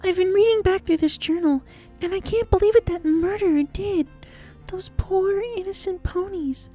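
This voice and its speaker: A haunted, desperately upbeat girl pony from a cartoon fan story. The voice is extremely high and earnest, narrating a diary with faint music underneath. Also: normal speed, warm tone. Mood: troubled, earnest. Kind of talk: dramatized diary reading of fan fiction.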